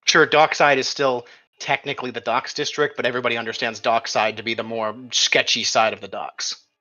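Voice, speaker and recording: Game master, male, medium pitch, bright tone, improvised tabletop narration with frequent rewording. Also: flat delivery, brisk, highs cut off. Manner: thinks aloud, rephrasing as he describes.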